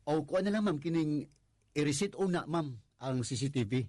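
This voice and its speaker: A man with a Cebuano accent playing a sly, lustful, deferential guard in a radio drama, stammering and muttering to himself in a husky, breathy voice. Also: fairly high pitch, lively intonation, normal speed, a little mellow, no room sound, studio quality. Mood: helpful. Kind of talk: Filipino radio drama acting.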